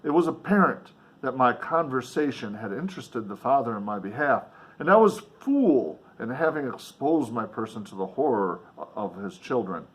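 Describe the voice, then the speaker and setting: A man's voice: medium pitch, very animated, moderate pace, poor audio. A man reading a novel aloud in a dramatic, emphatic way, with casual offhand commentary along the way. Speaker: reader and commentator.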